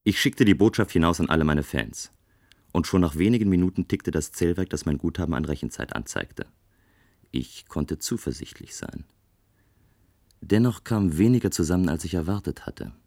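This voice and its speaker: A very low male voice carries this science fiction radio play. a composed, self-assured inventor of games from a future society. His delivery is articulate, measured and reflective. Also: darkish tone, heavily acted. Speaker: narrator and lead character in a radio play. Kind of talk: scripted German radio drama, first-person narration.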